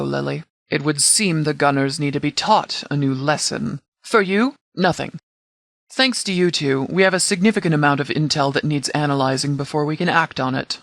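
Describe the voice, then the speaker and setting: A man playing a stern, composed sheriff in a video game, speaking with formal, clipped military authority in a slightly higher voice. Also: gravelly, a bit bright, dry recording, clean studio sound. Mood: dry, firm, then businesslike. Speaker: commanding officer. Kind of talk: scripted video game dialogue.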